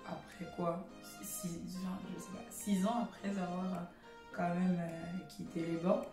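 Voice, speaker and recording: A woman in her thirties answers interview questions in a lowish voice, hesitant and full of fillers as she gathers her thoughts. She sounds set back from the mic in an echoey room, with music underneath. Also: flat delivery, unhurried, creaky, distant mic. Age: 30s. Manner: hesitant, many fillers, giggly at first. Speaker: interview guest. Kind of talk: casual interview chat.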